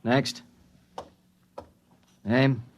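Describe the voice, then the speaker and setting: A man's voice: mid-range voice, flat delivery, drawn-out words, slight background noise. A man playing an indifferent, brusque bureaucratic clerk in a 1950s radio drama, rattling off routine questions and instructions.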